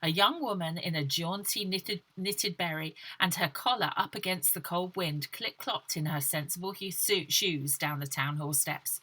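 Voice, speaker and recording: A woman reading a children's adventure novel aloud with dramatic, animated storytelling. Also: fairly low pitch, normal speed, hardly any pauses, slightly rough, low-quality recording. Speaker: author reading own story. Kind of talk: expressive scripted narration of a children's novel.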